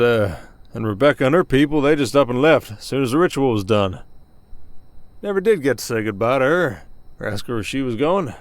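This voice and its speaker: A man acts out a weary Old West werewolf sheriff for an ASMR roleplay. He drawls in a folksy Southern American accent, protective and plain-spoken, pausing now and then as he tells things. The sound is close and dry, with an average male pitch. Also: no echo, lightly acted. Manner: folksy, drawling storyteller with hesitations.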